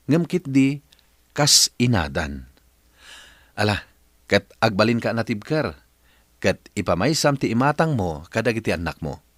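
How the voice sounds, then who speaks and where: A man's voice: on the low side, dry recording. A man with an Ilocano accent hosting a radio drama, giving advice and announcing in a presenter's style.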